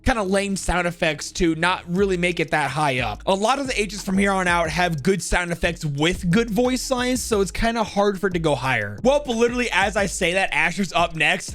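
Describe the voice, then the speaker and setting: High-voiced man, casual gaming video host. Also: lively intonation, brisk, few pauses, fairly bright tone. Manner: conversational, informal, opinionated. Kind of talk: casual ranking commentary on a video game.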